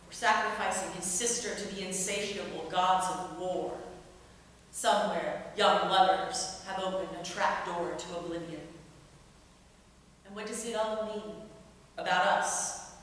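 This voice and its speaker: A woman's voice: mid-range voice, fairly bright tone. A woman performer reading aloud and speaking to an audience at a live showcase. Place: reverberant indoor performance space. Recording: echoey hall, far mic, faint noise.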